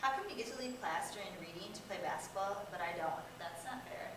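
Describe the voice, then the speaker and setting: A woman's voice: mid-range voice, lively intonation, fairly quick, lightly acted. A young American woman playing a curious, forthright school-age classmate in amateur reader's theater. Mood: curious, complaining. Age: young adult. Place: echoey indoor room. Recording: echoey room, distant microphone, clear background noise.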